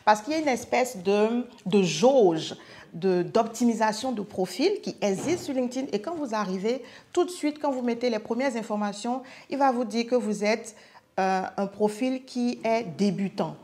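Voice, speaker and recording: A woman from Africa, a guest in a podcast chat, talking in an emphatic, punchy, animated way. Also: fairly high pitch, normal speed. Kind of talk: casual chat.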